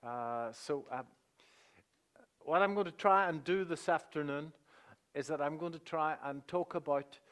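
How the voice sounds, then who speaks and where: A middle-aged man with a Northern Irish accent speaks to a conference audience from the front of a hall. His voice sits a bit high for a man, and he talks in a chatty, joking way. He fills pauses with "uh" and drops his voice low for quick asides. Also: fairly bright tone. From England. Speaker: seminar presenter. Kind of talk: live conference presentation.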